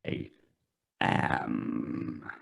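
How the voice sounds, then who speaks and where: A man playing a cold, obedient alien machine in a radio play, speaking in a halting, toneless, deliberate way. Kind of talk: scripted radio play reading.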